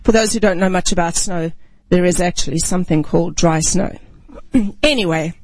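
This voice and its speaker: A woman ministry speaker, raised outside the United States, gives a reflective devotional talk and reads scripture, often clearing her throat. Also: lowish voice, normal speed, darkish tone, dry recording, rough recording.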